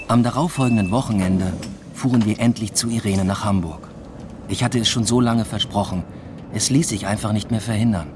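A man's voice: on the low side, background noise, natural voice. A man acting in a literary radio drama as a secretive, restless lover, speaking in an understated, matter-of-fact way. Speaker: first-person narrator and lead actor. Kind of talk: literary radio drama, intimate narration and dialogue.

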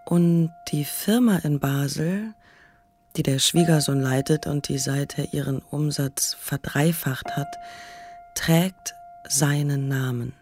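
A woman reads literary prose as narrator of a radio play. She speaks very slowly in a notably low voice, with faint music in the background. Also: darkish tone.

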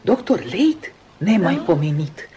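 A woman's voice: lowish voice, big pitch swings, warm tone. An actress playing a chatty, nosy, bored society lady in a radio drama, with a theatrical, insistent manner. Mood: avidly curious. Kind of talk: Romanian radio theatre acting.

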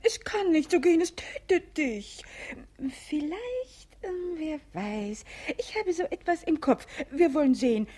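High-pitched fretful governess. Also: female voice, animated, unhurried, mellow. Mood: worried, soothing. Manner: anxious, motherly stage delivery. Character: fussy, fretful female governess of a princess. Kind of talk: 1950s radio play acting.

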